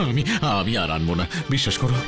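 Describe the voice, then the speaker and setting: A man's voice: fairly low pitch, animated, fast talker, music in the background, natural voice. An actor playing a scheming fake holy man and greedy snake smuggler in a kids' cartoon. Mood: panicked, pleading. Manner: crafty, boastful, stammers when cornered. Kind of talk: animated kids' cartoon acting.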